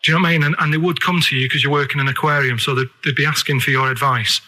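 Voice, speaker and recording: A Northern English man with a bright, forward voice at an ordinary pitch. He chats on the radio in a rambling, earnest way, pressing naive points persistently. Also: fairly even intonation, racing, slight background noise. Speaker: radio show regular making a phone call. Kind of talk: rambling comic radio chat.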